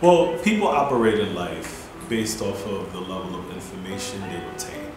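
Man, thirties, low-pitched, earnest, filler-heavy, lapel mic, very echoey loft, music bed. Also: lively intonation, moderate pace. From Haiti, raised in Brooklyn. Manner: earnest, fillers and rhetorical questions. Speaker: interviewed founder. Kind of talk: sit-down interview. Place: indoor brick-walled loft. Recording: echoey room with background music.

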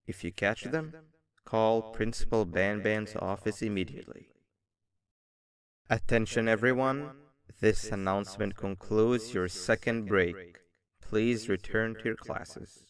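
A low, theatrical voice for a scheming devil mascot who runs a school in a video game. He talks smoothly, with a false friendliness. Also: steady tone, unhurried. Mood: formal announcement.

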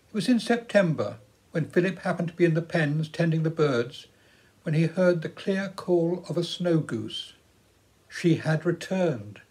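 Older English man reading, fairly high voice. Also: darkish tone. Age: 70s. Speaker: storyteller. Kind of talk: storybook reading aloud. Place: living room at home, indoors.

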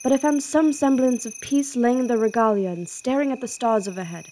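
A woman's voice: on the high side, a little fast, dark tone, slight background noise, close to an everyday voice. A woman playing a grieving young princess in an audio drama, wistful and introspective, longing for her father. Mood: wistful, regretful. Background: music.

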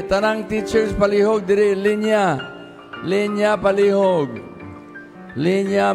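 A man's voice: sits high, gravelly. A man with a Cebuano Filipino accent addressing a church service as pastor, giving short, repeated directions to the congregation. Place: large church auditorium. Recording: reverberant church hall sound with music behind.

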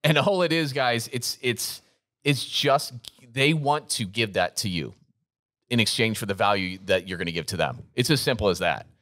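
A man's voice: on the low side, lively intonation, normal speed, fairly bright tone, dry recording, clean studio sound. Earnest motivational man co-hosting. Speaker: podcast co-host. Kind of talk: business podcast talk. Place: gym set up as podcast studio. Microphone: studio mic on boom arm, headphones.

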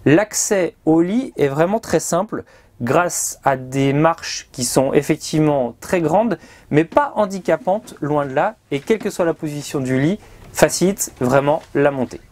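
A man, presenting a vehicle review in a measured, explanatory way into a lapel mic. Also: on the high side, animated, darkish tone. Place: outdoors by a river and inside a motorhome.

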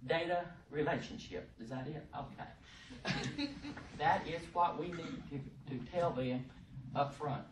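A middle-aged woman, giving a loud, emphatic, folksy training talk from a podium in a conference room. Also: deep voice, expressive, takes it slowly. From Texas. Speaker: presenter at a workshop. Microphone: podium microphone, heard from across the room. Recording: echoey room, distant mic, faint hiss.

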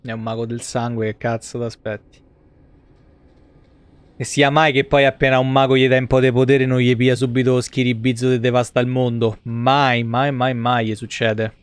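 A man's voice: medium pitch, level tone, moderate pace, clean tone, no room sound. A man in his thirties giving casual, sarcastic, foul-mouthed commentary as a video game streamer. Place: small room at home.

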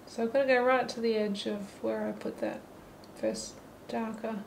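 A woman with a slightly high, gravelly voice explains her watercolour steps as she paints, relaxed and unhurried. Her delivery stays quite even in pitch. A faint hum of noise sits under the camera-mic recording. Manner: relaxed, thinking aloud while painting. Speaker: watercolour teacher. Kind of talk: painting tutorial narration. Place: holiday apartment, at a table indoors. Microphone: camera mic above the table.

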